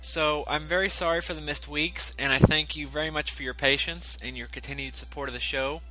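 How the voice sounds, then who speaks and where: A man's voice: fairly high pitch, fairly even intonation, fairly quick, sharp tone, rough recording. A man hosting a podcast, talking in an earnest, apologetic way and sounding slightly congested from allergies, reading through his notes. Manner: earnest, apologetic, reads notes with filler "you know". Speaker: podcast host. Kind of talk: casual scripted podcast talk. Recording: dry close recording, faint music.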